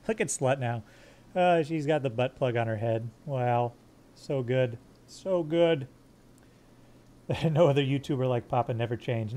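Crude, joking male game streamer. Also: fairly high pitch. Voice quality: monotone. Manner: crude, joking, talks to chat while managing gear. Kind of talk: live gameplay commentary.

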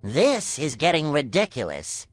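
A man voicing a shrill, whiny cartoon sponge. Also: wildly swooping pitch, a little slow, dry recording, clean studio sound, faint music behind, heavily acted. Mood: fed up. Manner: high, whiny and shrill when upset. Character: jittery young male sea sponge, excitable. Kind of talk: fan storytelling.

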